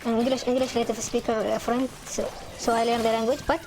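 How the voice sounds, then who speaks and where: A young woman with an Arabic accent talks over a video game, her voice sitting a little high. She speaks in a halting, casual way, restarting phrases and filling gaps with "like" and "uh." Faint game music runs under her. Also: little pitch movement, darkish tone, low-quality recording. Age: young adult. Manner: halting, many restarts and fillers. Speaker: gaming channel host. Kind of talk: casual chat over gameplay.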